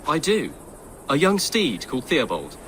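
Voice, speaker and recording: A man's voice: a little high, huge pitch swings, unhurried, fairly bright tone, slight background noise, full character voice. An actor playing an earnest young prince after his first battle. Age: young adult. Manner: earnest, eager. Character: young prince, eager and brave.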